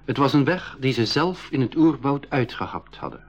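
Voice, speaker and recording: Adventurer narrator, husky, descriptive storytelling, school radio play, muffled recording. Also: male voice, mid-range voice, expressive, normal speed. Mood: grave storytelling. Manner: descriptive storyteller addressing young listeners. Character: male expedition member recounting a jungle adventure. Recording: dull 1980s radio recording.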